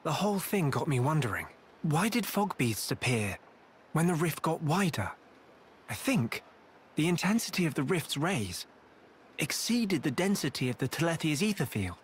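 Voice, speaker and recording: A man's voice: fairly high pitch, very animated, normal speed, slight background noise. An actor playing an earnest, thoughtful young male hero in a video game.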